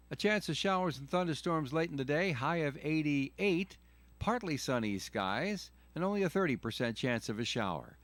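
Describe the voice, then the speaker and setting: A man's voice: on the high side, dry recording. A man reading a weather forecast, stressing each day's name.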